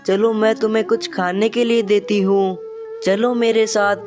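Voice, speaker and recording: A woman's voice: medium pitch, level tone, a little slow, over music, close to an everyday voice. A woman playing a sly, coaxing female eagle in a children's cartoon moral story. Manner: coaxing, scheming.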